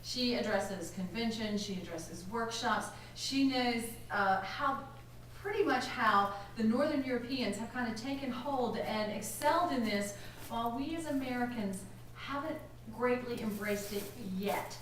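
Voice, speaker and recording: A woman's voice: fairly high pitch, unhurried, slight background noise. A woman host introducing the guest speaker at a conference in an informal way, with fillers. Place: conference hall. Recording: echoey hall from far off.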